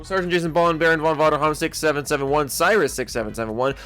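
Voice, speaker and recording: A man's voice: a little high, fairly even intonation, quick pace, a bit bright, soft background music. A man who makes videos, talking in a blunt, sweary, sarcastic way. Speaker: video creator. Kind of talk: opinionated rant and reading out supporter names.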